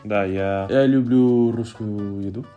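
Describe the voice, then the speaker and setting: A man from Colombia, chatting casually and haltingly, switching between basic Russian and English. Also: lowish voice, phone-quality sound, soft background music. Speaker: vlogger's husband. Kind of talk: casual chat.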